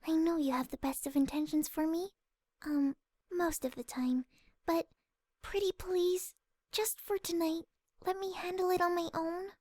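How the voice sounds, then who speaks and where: A woman voicing a timid, gentle young female pegasus pony, soft-spoken, hesitant and polite, in a story reading. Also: very high voice, steady tone, measured pace, no room sound. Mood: gently pleading. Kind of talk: fan audiobook character acting.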